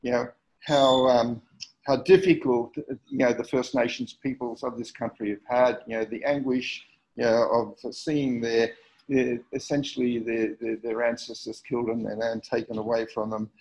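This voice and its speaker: A man's voice: mid-range voice, level tone, moderate pace, a little mellow. A middle-aged man from Australia giving a community history talk over slides, hesitant, with many fillers and repeated words. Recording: dull lo-fi recording.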